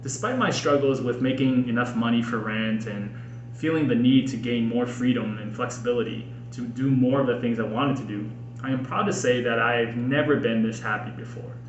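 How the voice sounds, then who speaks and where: An American man with a medium-pitched voice reads personal writing in the style of a homemade audiobook. He is earnest and expressive, setting out his phrases with care. The room echoes noticeably around him, with a faint noise underneath. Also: moderate pace, highs cut off. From Minnesota, United States. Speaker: podcast host and author reading own book. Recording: roomy echo with faint background noise.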